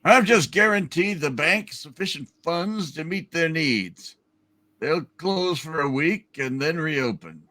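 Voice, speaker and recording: A man playing a scheming, smug old banker in a hammed-up radio play reading, deliberate and menacing. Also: a little high, expressive, bright, forceful tone.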